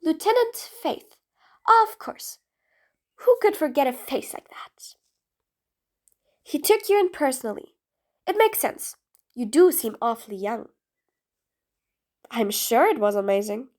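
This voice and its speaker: A high-voiced, sly supervillain acted out by a woman for an audio roleplay. The delivery is theatrical and mocking, with grand, formal phrasing. The villain comes across as impatient and scheming, with a grudging streak of sympathy. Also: lively intonation, a little slow, pauses often. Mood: curious, probing. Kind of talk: scripted audio roleplay monologue.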